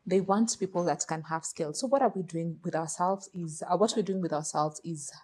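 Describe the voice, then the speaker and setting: A woman's voice: on the low side, fairly quick, darkish tone, husky. A woman with a Kenyan accent answering interview questions, explaining her work at length in an earnest, matter-of-fact way. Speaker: guest, virtual assistant business owner.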